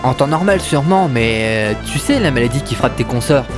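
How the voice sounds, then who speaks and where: An actor voices a young Greek soldier in an audio adventure. He is easygoing but excitable, with a swinging, animated delivery over prominent music. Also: medium pitch, a little fast, close to an everyday voice. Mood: relaxed. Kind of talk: amateur audio drama acting.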